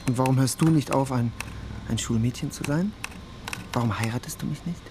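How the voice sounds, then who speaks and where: An actor playing a young, lovestruck police detective who is easily irritated, in a naturalistic German radio play. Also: male voice, mid-range voice, brisk, background noise, close to an everyday voice. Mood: tender, teasing. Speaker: actor in a radio crime drama. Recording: studio radio drama with clearly audible background ambience.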